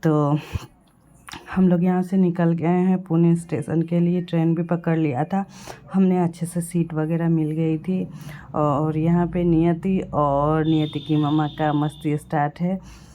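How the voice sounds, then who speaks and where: Low, husky-voiced young woman with a sore throat. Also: little pitch movement, measured pace, warm tone, slight background noise. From Bihar. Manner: chatty, hesitant, with coughs and throat clearing. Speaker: vlogger. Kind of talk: voice-over narrating a travel vlog.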